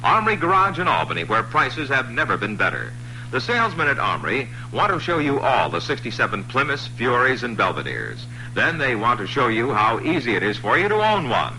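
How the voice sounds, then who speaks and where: A man reading a radio car commercial in a bright, punchy salesman delivery. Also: medium pitch, wildly swooping pitch, a little fast, poor audio. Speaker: ad announcer. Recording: faintly hissy 1960s radio commercial.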